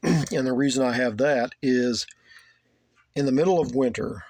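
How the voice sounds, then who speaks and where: A man talks in a middle register as he shows off his hobby setup. His delivery is casual and unscripted, with plain wording. He goes along haltingly and often repeats himself. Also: medium pitch, a little mellow, no echo. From United States. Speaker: radio hobbyist giving a tour of his setup. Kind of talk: casual unscripted show-and-tell. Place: small home radio room.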